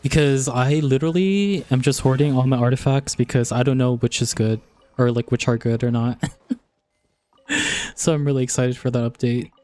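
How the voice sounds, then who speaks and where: A man's voice: mid-range voice, fairly even intonation, normal speed, mellow. A man chatting casually as a gaming livestreamer, peppering his talk with "like". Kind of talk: casual gaming stream chat. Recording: music playing behind. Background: background music.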